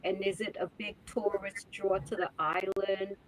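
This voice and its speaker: A woman hosting a podcast in casual conversation, agreeable and encouraging, quick to affirm her guest. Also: mid-range voice, flat delivery, poor audio. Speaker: podcast host.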